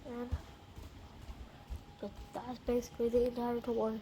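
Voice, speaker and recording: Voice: medium pitch, monotone, unhurried. A casual, rambling amateur vlogger speaking informally inside a house, with the voice of a woman or youngster as heard. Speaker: amateur video creator. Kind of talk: casual handheld vlog with improvised skit. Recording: noisy amateur home recording.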